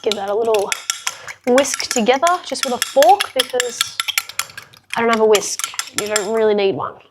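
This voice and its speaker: A woman's voice: on the high side, moderate pace, very noisy. A young woman with a congested, sick-sounding voice, chatting frankly and upbeat through a cooking tutorial in her home kitchen. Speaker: fitness vlogger.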